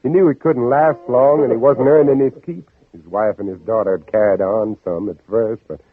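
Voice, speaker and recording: An actor playing a hunted, guilt-ridden Southern gentleman who narrates his own flight in a theatrical old radio drama. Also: medium pitch, steady tone, normal speed, close to an everyday voice. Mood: uneasy guilt. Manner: theatrical, dramatic, intense delivery. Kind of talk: 1950s radio drama, second-person narration. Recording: muffled old radio broadcast recording.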